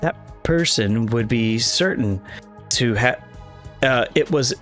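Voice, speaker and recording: A man voicing a helpful, earnest ghost boy from a video game, explaining things in a deliberate, halting way. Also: medium pitch, expressive, a bit bright. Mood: earnest, explanatory. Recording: game music playing behind the voice.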